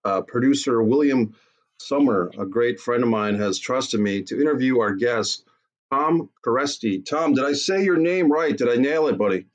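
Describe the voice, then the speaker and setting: A man's voice: medium pitch, lively intonation, moderate pace. A man guest-hosting a podcast, asking friendly questions with some hesitation. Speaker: guest host. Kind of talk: casual interview.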